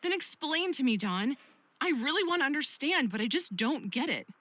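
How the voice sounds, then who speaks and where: Concerned female friend, fairly high voice, measured, probing, firm, dry sound, narrative game. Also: very animated, sharp tone, no echo, heavily acted. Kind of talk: visual novel voice acting.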